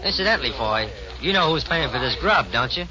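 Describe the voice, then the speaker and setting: An actor playing an excitable, wisecracking cowboy sidekick in a 1940s radio western, grumbling comically. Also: male voice, mid-range voice, fairly quick, crowd noise, close to an everyday voice. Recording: muffled 1940s radio recording.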